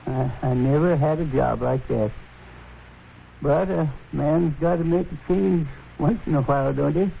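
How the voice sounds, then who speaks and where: An actor playing a proud, ornery old man in a radio western, with a creaky voice and halting, folksy speech. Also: on the high side. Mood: subdued, reflective. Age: older. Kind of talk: 1950s radio western acting. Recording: tinny, noisy 1950s radio recording.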